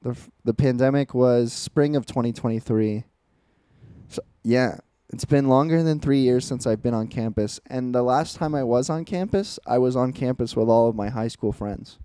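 A young man in his twenties talks through his thoughts in an earnest, reflective way. His voice is dark and smooth, and it sounds dry, with no room echo. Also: mid-range voice, moderate pace. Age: 20s. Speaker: podcast co-host. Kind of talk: casual chat.

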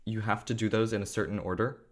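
An Irish teenage boy talks through his school life in a relaxed, rambling way. His voice sits a little low, and he keeps leaning on fillers as he explains. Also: fast talker. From Ireland. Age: teens. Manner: chatty, explains at length with fillers. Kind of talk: casual video chat.

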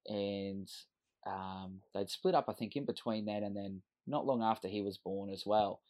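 A man's voice: lowish voice, normal speed, a little mellow. A man in his thirties with an Australian accent, a podcast guest telling his life story in an earnest, reflective way. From Western Sydney, Australia. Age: 30s. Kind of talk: candid personal storytelling.

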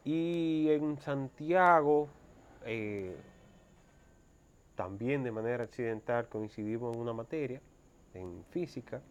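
A man's voice: mid-range voice, lively intonation, slow, pauses often, clean tone. A man in his thirties with a Dominican accent, speaking as an interview guest in an explanatory, conversational way. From Dominican Republic.

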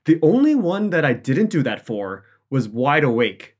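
Halting, enthusiastic man. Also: on the high side, big pitch swings, darkish tone. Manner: halting, self-interrupting, enthusiastic. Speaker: interview guest, audio fiction writer and director. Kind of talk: casual interview chat.

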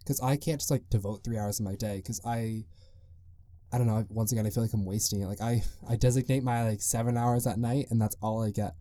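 Very mellow young man rambling on a podcast. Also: fairly low pitch, fairly even intonation, a little fast, no room sound. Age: young adult. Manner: rambling, loose and chatty, full of "like". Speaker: podcast host. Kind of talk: casual solo podcast monologue.

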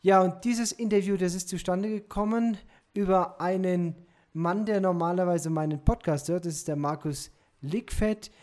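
A man hosting an interview podcast over a video call, greeting his listeners warmly and asking measured questions. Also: sits high. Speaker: podcast host.

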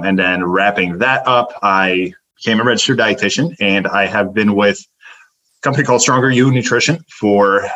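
A young man from Turkey, a native Turkish speaker, speaks in a very low voice. He tells his story in a detailed, earnest way, as a dietitian guest on a podcast. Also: a bit bright, poor audio. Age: young adult. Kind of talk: podcast interview.

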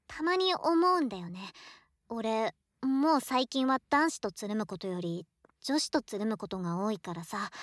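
Woman voicing a high-pitched, emotive gender-swapped student. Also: a bit bright, dry recording, heavily acted. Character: shy, affectionate young student, boy turned into a girl. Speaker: voice actor in a situation voice drama. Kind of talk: Japanese situation voice drama acting, intimate one-sided dialogue.

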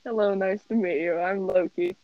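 A young woman hosting a casual call with friends, telling stories excitedly, swearing and giggling as she talks. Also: medium pitch, normal speed, dry recording, dull audio. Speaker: host of a friends' chat. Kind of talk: casual chat. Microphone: home computer mic on a call.

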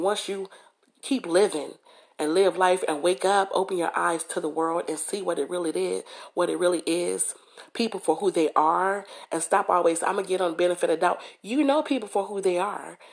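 A hoarse woman hosting a motivational podcast, talking to listeners in a conversational way and often checking in with "okay?" and "you know". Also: lowish voice. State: hoarse from a cold. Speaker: podcast host. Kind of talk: motivational monologue.

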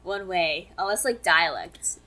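A woman's voice: fairly low pitch, unhurried, crowd noise. A woman, a native Japanese speaker, co-hosting a podcast, putting brief, curious questions to the others. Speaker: podcast co-host. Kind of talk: casual chat.

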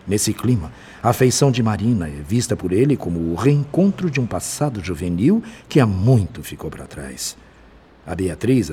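A man playing a wise, calm spirit physician in a radio drama, explaining things in a measured, formal, fraternal way. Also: deep voice, a little hiss or hum.

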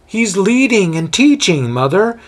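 A man voicing an anxious, excitable middle-aged mother who fires off questions. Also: sits high, pitched above the performer's own voice, heavily acted. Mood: earnest. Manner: frantic, rapid-fire questions.

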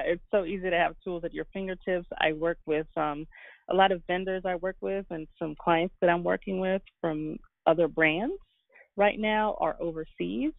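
A woman from Guyana speaks as an interview guest, reflective and earnest. Her voice has a frying, creaky edge. The sound is close and dry but narrow and lo-fi, like a call. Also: low voice, dry recording. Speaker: interview guest, fashion designer and business owner. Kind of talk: conversational interview answers.

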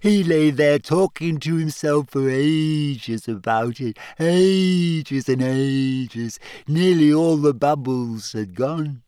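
Guy doing a sulky mournful ghost girl for an audiobook, high smooth voice, feels very sorry for herself. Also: slow pace, few pauses, dark tone, dry recording. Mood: smug, chatty. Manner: mournful, shrill when upset. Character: glum, touchy, self-pitying ghost of a girl. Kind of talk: audiobook character voice.

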